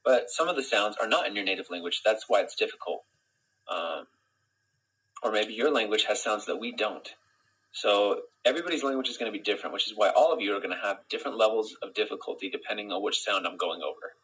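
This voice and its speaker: Young American teacher, bright, husky-edged voice, easygoing and friendly, steady explanations, close phone mic in a small room. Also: male voice, on the low side, fast talker, low-quality recording. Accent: General American. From Utah, United States. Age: young adult. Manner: relaxed, friendly, explains step by step with fillers. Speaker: English pronunciation teacher. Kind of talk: live-streamed pronunciation lesson, reading chat. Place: small indoor room with window blinds. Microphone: phone camera close-up.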